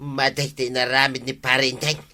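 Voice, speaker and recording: Huffy old ilocano village guy, very sharp forceful voice, jealous, radio comedy. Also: mid-range voice, level tone, moderate pace. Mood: sulking. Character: indignant, jealous older village man, self-important sidekick.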